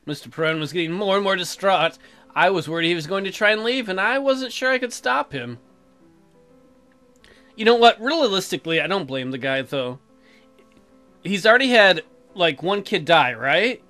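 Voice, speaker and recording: An animated let's player reads a story game aloud and riffs on it crudely. The voice is bright and moves widely in pitch. Faint game music runs behind. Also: moderate pace, long pauses. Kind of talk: joking playthrough commentary and dramatic read-aloud.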